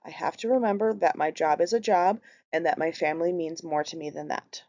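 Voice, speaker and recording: A woman's voice: deep voice, steady tone, fairly quick, warm tone. A woman in her thirties from Minnesota with a Minnesotan accent, earnestly reading a prepared class presentation. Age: 30s. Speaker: student presenter. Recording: slightly muffled lo-fi recording.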